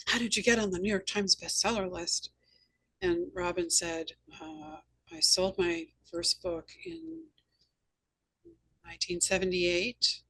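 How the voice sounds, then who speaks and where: A woman's voice: lowish voice, fairly bright tone, low-quality recording. A woman hosting an interview, telling anecdotes in an earnest, emphatic conversational way. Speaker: host and novelist.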